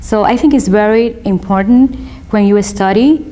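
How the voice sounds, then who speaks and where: Woman, Spanish accent, law student in an interview; sincere, encouraging, halting second-language phrasing, mid pitch. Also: warm tone. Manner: earnest and motivational, halting non-native phrasing. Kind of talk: interview, personal testimony.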